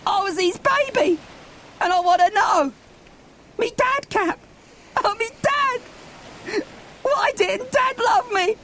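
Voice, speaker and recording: A man's voice: very high-pitched, lively intonation, slow, long pauses, pushed and bright, slight background noise, voice pushed up, exaggerated voice. A man voicing an anguished, pleading young boy in a dramatised children's audiobook. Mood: tearful, anguished. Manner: breathless, pleading, childlike exclamations. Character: anguished, pleading young boy, Milo, abandoned by his dad.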